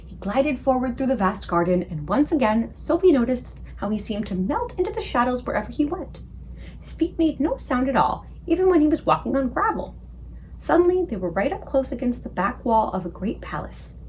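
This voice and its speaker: A woman reading a children's book aloud as an expressive storyteller for a book club. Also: high voice, fairly quick, a little hiss or hum, low-quality recording.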